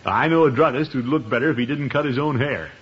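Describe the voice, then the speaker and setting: An actor playing a pompous, hearty middle-aged water commissioner in a 1950s radio comedy, blustering and chuckling. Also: male voice, medium pitch, fast, lightly acted. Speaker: lead of a radio sitcom. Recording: muffled old radio broadcast with hiss.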